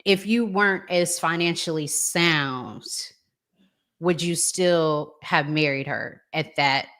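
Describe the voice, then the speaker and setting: A woman's voice: deep voice, slow, a bit bright. A woman hosting a relationship podcast in a casual, conversational interviewing style. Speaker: podcast host. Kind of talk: casual interviewing, reads audience comments.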